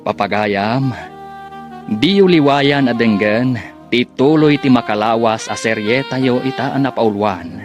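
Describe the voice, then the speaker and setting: A man's voice: medium pitch, unhurried. A man with an Ilocano accent reading scripted, dramatic narration as the announcer of a radio drama. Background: music.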